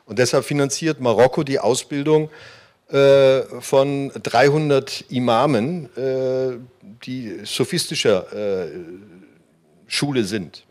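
A man's voice: medium pitch, slow pace, a little mellow. A man speaking as a panelist at a conference, earnest, with many hesitation fillers, on a handheld mic in a hall. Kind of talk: panel discussion.

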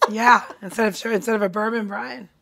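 A woman's voice: medium pitch, fairly even intonation, a little fast, vocal fry, fairly bright tone. A woman co-hosting a podcast, talking in a casual, chatty style. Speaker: podcast co-host. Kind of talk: casual chat.

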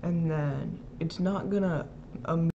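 A casual, rambling speaker hosting an informal step-by-step software tutorial, recorded close to the mic as a voice-over. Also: mid-range voice, slow, vocal fry, highs cut off, slight background noise. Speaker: tutorial host.

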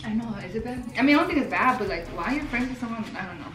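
A woman's voice: on the high side, expressive, racing, crowd noise. A twenty-one-year-old woman chatting as a guest over food on a living room couch, talkative, giggly and slangy. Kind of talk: casual mukbang Q&A chat. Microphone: far camera. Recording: roomy room from far off with background music. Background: background music.

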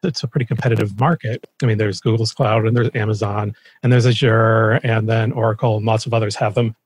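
A man's voice: on the low side, little pitch movement, fairly quick, breathy edge. A man hosting an interview, asking questions in a tentative, roundabout, conversational way. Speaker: podcast host, interviewer.

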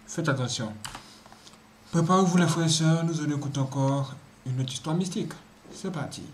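A man hosting a story show, introducing and wrapping up tales in a low-key way. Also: moderate pace, slight background noise. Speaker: host. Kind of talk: show host wrap-up.

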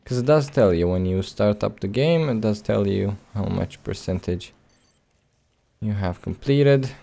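A man's voice: lowish voice, expressive, a little slow, creaky, dark tone. A man doing casual gameplay commentary with a hesitant, rambling, playful delivery. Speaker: let's play host.

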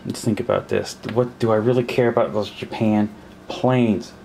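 A man's voice: fairly low pitch, fairly even intonation, moderate pace, creaky, noisy background. A man playing a war board game at a table, counting pieces aloud and puzzling over the rules as he goes. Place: indoors at a table.

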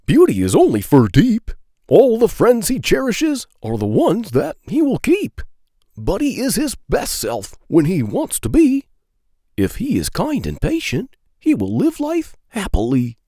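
A man reading children's rhyming poems aloud in a playful, deliberate, sing-song storybook style. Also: sits high, wildly swooping pitch, warm tone, dry recording, clean studio sound. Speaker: children's book read-aloud narrator.

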